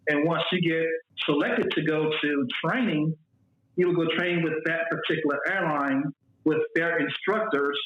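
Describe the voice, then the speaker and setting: A man's voice: a little high, normal speed. A man in his forties from Mississippi, guest talking casually about his work as a flight attendant, rambling and repeating himself. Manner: repeats words, loose and rambling. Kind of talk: casual interview talk. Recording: echoey room, phone-like audio.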